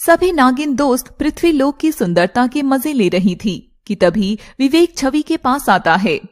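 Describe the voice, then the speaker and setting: A woman's voice: sits high, expressive, unhurried. A woman giving scripted narration for a cartoon story.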